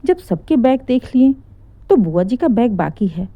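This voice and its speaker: A woman's voice: on the high side, big pitch swings, unhurried, no room sound, highs cut off, heavily acted. A woman narrator puts on a young daughter-in-law in a family drama. She is defiant and aggrieved, pressing her complaint stubbornly. The sound is dry and close. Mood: accusing.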